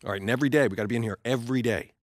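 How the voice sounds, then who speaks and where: Man playing a fast-talking, no-nonsense American trainer. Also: mid-range voice, no room sound, clean studio sound, close to an everyday voice. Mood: instructive. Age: middle-aged. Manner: matter-of-fact, coaching, firm. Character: no-nonsense middle-aged team athletic trainer. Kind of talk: naturalistic video game cutscene acting.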